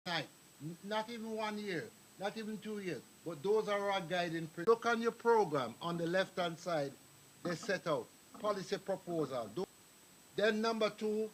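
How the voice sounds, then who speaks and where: This is an older man, talking at a meeting hall. He tells stories, drifts off topic and calls out to people in the audience. His voice sits high, with a faint hiss under it. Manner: rambling, anecdotal, addresses the crowd directly. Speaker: speaker at a community meeting. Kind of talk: public address to an audience.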